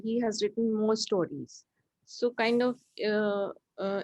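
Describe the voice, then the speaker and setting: A woman's voice: a little high, a little mellow, no echo. A woman giving informal, hesitant feedback as a workshop participant. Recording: dull, dry call audio.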